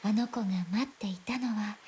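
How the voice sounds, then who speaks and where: A woman playing a teasing, theatrical young woman with a tender side in a Japanese visual novel, playful and expressive. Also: on the high side, rapid-fire, a little hiss or hum, close to an everyday voice. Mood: tender, wistful. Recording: game audio under loud music. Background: video game music.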